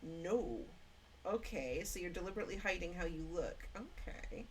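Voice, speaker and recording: A woman streamer chatting in character during a roleplay game, thinking aloud with plenty of ums and pauses. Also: lowish voice, animated, darkish tone, a little hiss or hum, low-quality recording. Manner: hesitant, thinking aloud with many fillers. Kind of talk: in-character roleplay chat while gaming.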